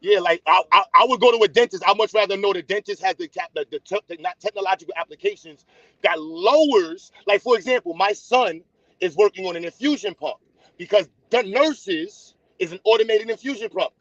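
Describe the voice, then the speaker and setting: A blunt, teasing man talks straight to his viewers on a casual livestream. His voice sits high and carries no room echo, picked up dry and close. The sound is dull and lo-fi. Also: brisk, fairly bright tone. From United States. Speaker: livestream host.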